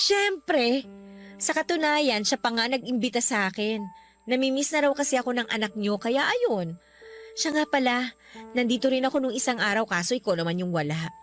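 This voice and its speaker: Radio drama temptress, played by a woman: high-voiced, very expressive, taunting and flirty younger sister out to seduce. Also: normal speed, long pauses, soft background music, natural voice. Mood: teasing. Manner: teasing, taunting, giggly. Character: scheming, flirtatious younger sister seducing her brother-in-law. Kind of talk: Tagalog radio drama acting.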